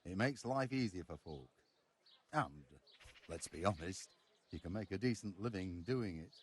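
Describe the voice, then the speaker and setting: A man's voice: low-pitched, lively intonation, a little slow, background noise. A man acting a proud, earthy village blacksmith in a medieval video game, blunt and folksy in his speech. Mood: frank, reflective. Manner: blunt, sweary, folksy turns of phrase.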